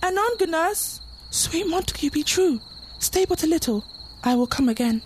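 A woman's voice: extremely high pitch, lively intonation, normal speed, a little hiss or hum. A young woman acting a passionate, anxious girl in love, delivering Shakespearean verse earnestly in an audio play. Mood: anxious, tender. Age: young adult. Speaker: student actor.

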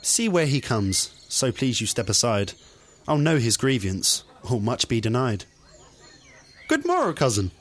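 A man's voice: mid-range voice, huge pitch swings, a little slow. A man playing an earnest, coaxing young nobleman in a student Shakespeare audio play. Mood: concerned. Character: Benvolio, concerned, coaxing young nobleman and cousin.

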